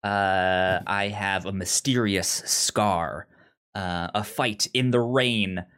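A man's voice: deep voice, fairly even intonation, takes it slowly, fairly bright tone, clear, resonant tone. A man co-hosting a podcast in casual, unscripted chat, hesitant and often stammering through repeated false starts. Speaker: podcast co-host. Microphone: remote online call.

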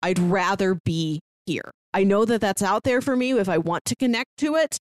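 A woman's voice: medium pitch, moderate pace, slight background noise. A woman co-hosting a podcast, casually discussing films and acting out lines as she retells. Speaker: podcast co-host.

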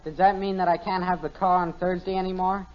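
A man's voice: high voice, level tone, fairly quick, natural voice. A young man playing a defensive, protesting teenage son in a vintage radio sitcom, whiny and emphatic. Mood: eager, put-upon. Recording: muffled old radio, audience behind.

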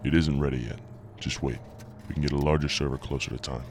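An actor playing an obsessive visionary inventor and devoted husband in an audio drama, with a grave, measured delivery and a gravelly voice over a noisy recording. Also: male voice, very deep voice, steady tone, a little mellow. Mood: patient. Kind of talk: audio drama acting, partly recorded log narration. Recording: noisy amateur podcast recording.

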